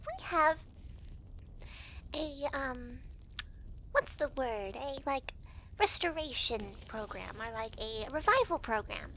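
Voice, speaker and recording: A young devil girl who works as hell's clerk, acted by a woman in an ASMR roleplay. Her voice is extremely high and lively in pitch, with a breezy, teasing, giggly manner. There is a faint noise behind her. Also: full character voice. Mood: chatty, persuasive. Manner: giggly, breezy, teasing, halting when flustered. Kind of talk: ASMR roleplay, talking to the listener.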